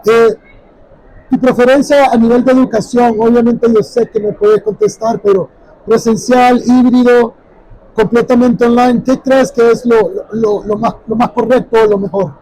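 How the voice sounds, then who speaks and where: A man's voice: very high voice, steady tone, normal speed, mellow, rough recording. A man hosting a friendly interview at a busy trade fair, with many fillers and restarts. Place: busy expo hall.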